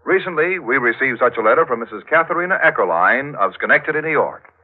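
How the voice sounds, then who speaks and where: A man's voice: medium pitch, expressive, fast. A man with an American accent announcing and reading a scripted 1940s radio advertisement. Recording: tinny old radio broadcast.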